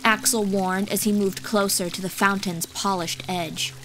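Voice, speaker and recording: Female narrator for scripted fantasy fiction, medium pitch, over a clear music bed. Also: steady tone, measured pace. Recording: music behind the voice.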